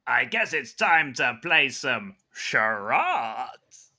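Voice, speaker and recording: A man improvises in a comedy roleplay. His voice is sharp and very bright, with lively swings in pitch. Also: drawn-out words, creaky. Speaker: player in a roleplay podcast.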